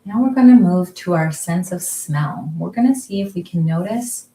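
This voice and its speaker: A woman slowly and calmly leading a guided mindfulness exercise from her kitchen at home, with many pauses. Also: fairly low pitch, reverberant. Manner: slow, soft, calm guiding with frequent pauses. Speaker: mindfulness group leader. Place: kitchen or dining room at home.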